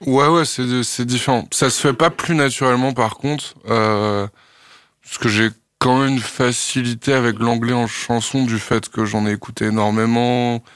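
A young man from France, a singer-songwriter, answering radio interview questions in a thoughtful, hesitant way with many pauses and fillers. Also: mid-range voice, steady tone, a bit bright, smooth, no echo, studio quality.

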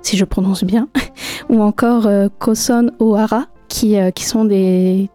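A young woman from France, a guest on a local radio show, answering questions hesitantly with many pauses and fillers. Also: mid-range voice, fairly even intonation, warm tone, no echo, soft background music. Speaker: guest artist.

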